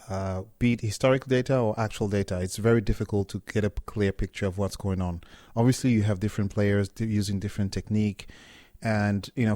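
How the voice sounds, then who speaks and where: A middle-aged man from Cameroon, a startup co-founder talking casually as an interview guest, relaxed and often restarting his words. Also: lowish voice, no room sound, studio quality.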